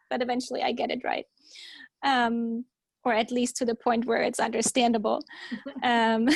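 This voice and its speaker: A woman in her thirties from Germany, speaking English with a German accent, introducing herself thoughtfully on a podcast with frequent pauses. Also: a little high, no echo. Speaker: podcast co-host. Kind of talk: casual chat.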